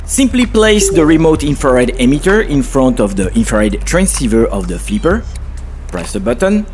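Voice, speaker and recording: Guy doing a step by step tech tutorial voiceover, animated intonation, some music behind. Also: medium pitch. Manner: clear step-by-step instructional delivery. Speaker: tutorial narrator. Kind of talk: scripted tutorial narration.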